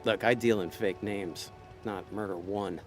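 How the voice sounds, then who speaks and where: A man's voice: fairly low pitch, fairly even intonation, unhurried, faint music behind, natural voice. An actor playing a laid-back, streetwise male con man in a noir audio drama, talking in a dry, easygoing, wisecracking way. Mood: wry.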